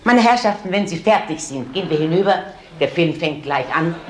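An actress playing a strict, sarcastic retirement home matron, prim and reproachful, in a German crime radio play. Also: female voice, fairly low pitch, expressive, brisk, lightly acted. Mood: commanding. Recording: echoey room, faint noise.

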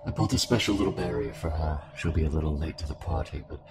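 A man's voice: rumbling low, quick pace, heavy background noise. A man voicing a weary, menacing cultist villain in an audio roleplay, taunting and resigned in manner. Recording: music behind the voice.

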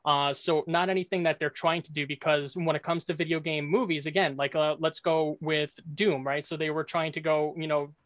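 A man with a fairly high voice argues his side in an unscripted debate. He explains step by step and keeps checking that listeners follow. The sound is dry, with very little room in it. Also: little pitch movement, fairly quick, few pauses, fairly bright tone, dry recording. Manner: explanatory, checks with rhetorical "right?". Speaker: debate contestant.

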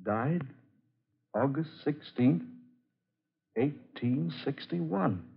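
A man's voice: fairly high pitch, lively intonation, drawn-out words, creaky, slightly rough, full character voice. A man playing a bossy, determined treasure hunter in a 1940s radio horror drama, terse and dramatic. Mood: horrified. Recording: muffled vintage radio recording with loud music.

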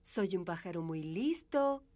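A woman's voice: fairly low pitch, animated, a little slow, a little mellow. A woman playing a sweet, cheerful old grandmother pig in a children's cartoon.

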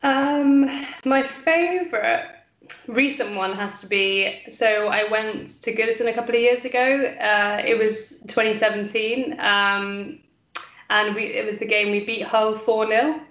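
A woman in her twenties from the English Midlands, talking casually as a guest on a video call from a small room at home, relaxed with many pauses and ums. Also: fairly high pitch. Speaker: guest, fan channel creator. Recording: echoey room, dull lo-fi call audio.

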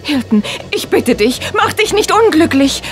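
An anxious wife in a radio play, pleading and fearful. Her voice is fairly high and swings a lot in pitch, over faint music. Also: sing-song, measured pace. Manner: imploring, fearful. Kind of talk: German audio drama acting.

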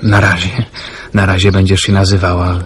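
An actor playing an earnest wartime suitor in a radio drama, hesitant and husky. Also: male voice, sits low, completely flat delivery, normal speed, a little hiss or hum, natural voice. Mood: tender, teasing. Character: earnest, teasing man in love, wartime suitor.

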